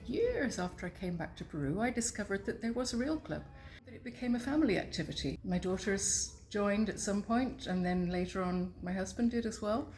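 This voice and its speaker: Brief: woman, mother, brisk interviewee. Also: medium pitch, expressive, a little mellow, soft background music. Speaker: club member, mother. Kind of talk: documentary interview.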